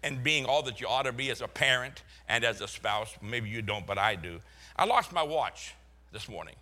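A man's voice: mid-range voice, lively intonation, moderate pace, sharp tone. An older man from Boston with a Boston accent, a pastor preaching a sermon full of folksy, chuckling stories.

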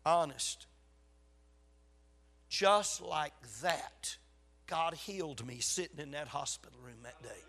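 An older male preacher with a Southern US accent delivers a sermon in a high, forceful voice. He is getting over a cold, which leaves a slight rasp. His storytelling is folksy and emphatic, with weighty pauses. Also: animated. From South Carolina, USA. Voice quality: slightly raspy. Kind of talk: sermon to a congregation.

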